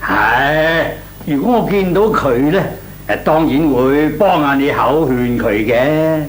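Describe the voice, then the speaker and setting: An actor playing a kindly, deliberate older gentleman with a Cantonese accent in old Hong Kong radio drama style. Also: a little high, lively intonation. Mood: measured, advising. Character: kindly, measured old gentleman, advice-giving elder. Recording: old radio recording, faint noise.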